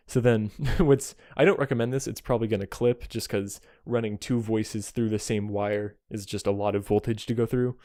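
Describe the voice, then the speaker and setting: A man's voice: on the low side, dark tone, dry recording, studio quality. A man giving a casual, rambling synthesizer demonstration to a friend, enthusiastic yet self-deprecating and apologetic, speaking as an amateur player. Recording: music behind, occasionally overloaded mic. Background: synthesizer tones.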